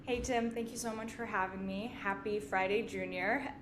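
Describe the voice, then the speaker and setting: A woman's voice: on the high side, normal speed, background noise. A woman in her twenties from Syria, chatting informally as a podcast guest and often dropping in "like". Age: 20s. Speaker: podcast guest, finance company partner. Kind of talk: casual conversational interview.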